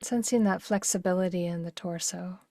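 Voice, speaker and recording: A woman with a fairly low voice guides a meditation in a very dry recording. Her delivery is gentle and soothing, taking its time. Phrase endings soften into breath. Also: steady tone, normal speed, no echo.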